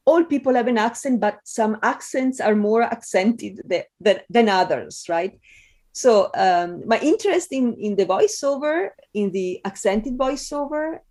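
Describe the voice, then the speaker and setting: A woman from Italy with an Italian accent, a scholar answering interview questions in a thoughtful way with frequent pauses and fillers. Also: a little high, darkish tone. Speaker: guest scholar.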